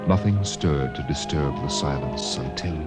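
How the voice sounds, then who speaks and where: A man's voice: extremely low pitch, moderate pace. A man playing a solemn, brooding storyteller, narrating an old radio drama in dramatic style. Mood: hushed suspense. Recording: old radio recording with music behind.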